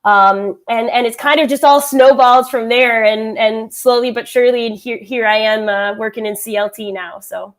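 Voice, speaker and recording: Higher-pitched American woman guest. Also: steady tone, moderate pace, few pauses. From Wisconsin, United States. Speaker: expert guest. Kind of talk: conversational interview answers. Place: indoor room at home, video call.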